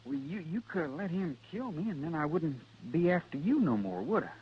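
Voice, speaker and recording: Husky, halting young cowhand. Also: male voice, on the high side, very animated, exaggerated voice. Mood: sheepish, puzzled. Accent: American. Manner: halting, stammering. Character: earnest, hesitant young cowhand. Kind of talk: 1950s radio western acting. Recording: dull old radio recording.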